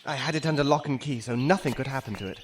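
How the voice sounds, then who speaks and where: A man playing an anxious, melodramatic rich gentleman in an audio drama, with theatrical exclamations and old-fashioned phrasing. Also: mid-range voice, fast talker, a little hiss or hum, close to an everyday voice. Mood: fretful, wistful.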